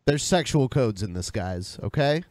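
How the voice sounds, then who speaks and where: A man's voice: medium pitch, expressive, moderate pace, darkish tone, no room sound. A man hosting a podcast in casual conversation. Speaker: podcast host. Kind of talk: casual chat.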